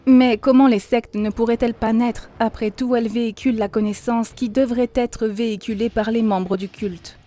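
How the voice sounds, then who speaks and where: A woman playing a grave, critical spiritual mentor, speaking in a measured, didactic way in a cartoon. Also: on the high side, fairly even intonation, dark tone, natural voice. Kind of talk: scripted cartoon dialogue.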